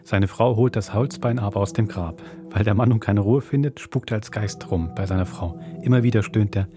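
Low-pitched Swiss German male host. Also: a little fast. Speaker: podcast host. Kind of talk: presenting credits, reading listener stories, chatting. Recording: loud music under the voice.

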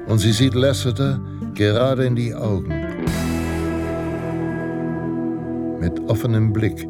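An actor playing a solemn narrator of a pulp Western radio play, deliberate and lofty in delivery. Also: male voice, on the low side. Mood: romantic, dreamy. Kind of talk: dramatic Western storybook narration. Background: prominent plucked guitar music.

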